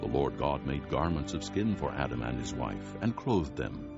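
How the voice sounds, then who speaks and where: A man narrates scripture as a solemn storyteller. His voice is exceptionally low and gravelly, rising and falling expressively in a scripted dramatic reading. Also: big pitch swings, normal speed. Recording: dull sound with music bed.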